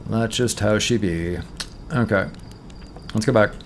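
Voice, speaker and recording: A man's voice: on the low side, a little slow, a little hiss or hum. A man from Toronto with a Canadian accent streams a game in casual chat, thinking aloud and correcting himself, his voice tired. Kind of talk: casual let's-play chat.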